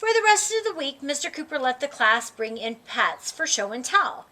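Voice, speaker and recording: Bright, high-pitched woman reading a children's story. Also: normal speed. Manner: expressive, dramatic emphasis. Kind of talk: animated read-aloud narration in a child character's voice.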